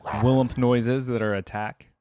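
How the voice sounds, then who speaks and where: A man's voice: mid-range voice, steady tone, measured pace, dark tone, a little hiss or hum. A man giving casual, off-the-cuff commentary as a reaction video host, thinking aloud as he goes.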